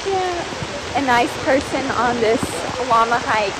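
A woman's voice: fairly high pitch, expressive, unhurried, heavy background noise. A young woman vlogging on a rainy forest hike, giggly and chatty, filming on a phone held at arm's length. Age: young adult. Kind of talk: handheld vlog narration. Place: rainy forest trail outdoors. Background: rain and a stream.

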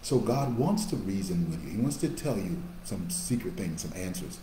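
A preaching man with a mellow low voice. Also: lively intonation, normal speed. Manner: preaching, rising to emphatic bursts then dropping to quiet asides. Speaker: pastor. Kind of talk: sermon. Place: small office with a large painting behind. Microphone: webcam on a video call. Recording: echoey room with faint background hum.

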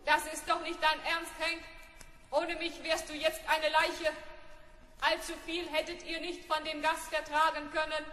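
A self-willed robot from a vintage audio drama. The processed voice is very high and monotone, measured and unruffled, ringing with heavy reverb. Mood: cool, unruffled. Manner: flat, measured, deadpan. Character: calm self-willed robot R7, coolly logical. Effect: high-pitched robot voice effect. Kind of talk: 1970s German children's audio drama acting. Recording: echoey, reverberant studio effect.

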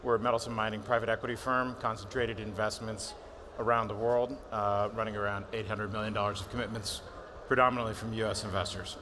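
A man introducing himself on a conference panel in an even, businesslike way. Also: fairly low pitch, quick pace, few pauses, background noise. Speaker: panelist, investor.

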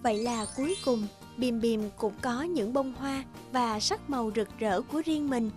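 High-voiced woman storybook narrator. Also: moderate pace, a little mellow, soft background music.

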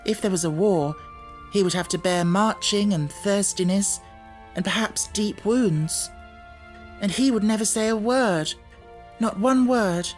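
An earnest, brave little schoolgirl from a storybook, voiced by a male narrator. The voice is lifted well up in pitch and speaks in a thoughtful, imaginative way. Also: measured pace, soft background music. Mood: stoically brave. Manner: earnest, reflective, storybook speech.

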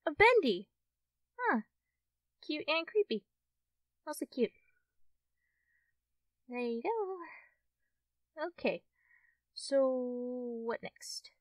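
A woman playing a friendly, shy, easily excited young fox-tailed girl in an ASMR roleplay, speaking hesitantly to the listener as a friend. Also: high voice, no room sound, distant mic, heavily acted. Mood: friendly, playful. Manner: hesitant, halting, giggly, addressing the listener as a friend. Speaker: roleplay performer.

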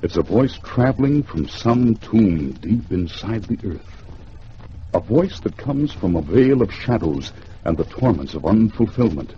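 A man narrating a 1950s radio thriller in a theatrical, dramatic way, with deliberate pauses. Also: on the low side, big pitch swings. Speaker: radio storyteller. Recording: dull, faintly noisy old radio recording.

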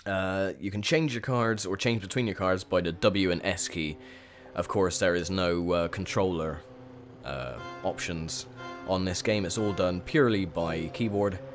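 A young man with a croaky, sore voice, chatting casually through gameplay commentary with lots of ums. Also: low voice, unhurried, soft background music. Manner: chatty, rambling with many ums. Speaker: gaming video host.

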